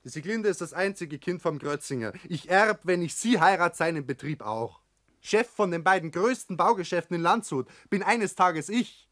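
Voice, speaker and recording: A rebellious young Bavarian man in a sixties radio drama, pitched a little high. He is the builder's ambitious son, arguing bluntly and full of reproach. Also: animated, moderate pace, a bit bright. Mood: defiant. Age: young adult. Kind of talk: 1960s German radio drama acting.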